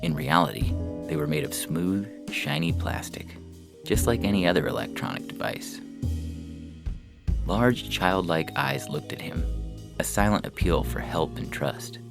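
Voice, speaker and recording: A man's voice: low-pitched, big pitch swings, a little slow, gravelly. A man reading as the narrator of a scripted story. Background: music.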